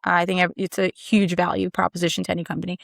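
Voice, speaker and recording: A woman's voice: fairly low pitch, little pitch movement, fast, vocal fry, no echo, clean studio sound. A woman in her thirties from Maryland, a podcast guest telling her story in a confident, chatty way. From Maryland, USA. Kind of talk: casual conversation.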